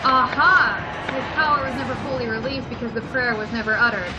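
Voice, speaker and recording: A woman's voice: fairly high pitch, a little fast, a loud crowd, very noisy. A woman co-hosting a let's play with casual, chatty commentary on a game, on a dull lo-fi recording. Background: game music.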